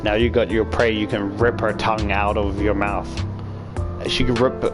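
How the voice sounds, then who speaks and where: A man's voice: fairly low pitch, little pitch movement, moderate pace. A man reading a visual novel aloud as a YouTuber, stumbling now and then and adding casual commentary. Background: game music.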